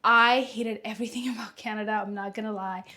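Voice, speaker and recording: A woman's voice: medium pitch, fairly even intonation, brisk, bright, forceful tone. A woman in her thirties from Ethiopia, chatting casually to camera at home while doing her skincare, rambling and correcting herself as she goes. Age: 30s. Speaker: lifestyle vlogger.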